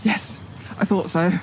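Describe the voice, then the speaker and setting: A woman playing a polite, hesitant young college leaver in an audio drama, speaking with some reserve. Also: a little hiss or hum. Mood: reserved. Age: young adult.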